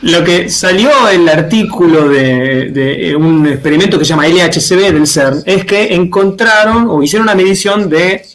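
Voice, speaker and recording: A man with a notably high voice and an Argentine accent. He explains things casually, in a didactic way, pausing on short tag questions to check he is being followed. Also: fairly bright tone. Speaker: panelist explaining science.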